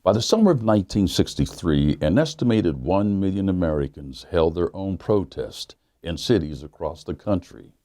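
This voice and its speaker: A man, a pastor, reading a children's book aloud in a measured storytelling manner that slows down on key lines. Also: low-pitched, expressive, mellow, no room sound, studio quality.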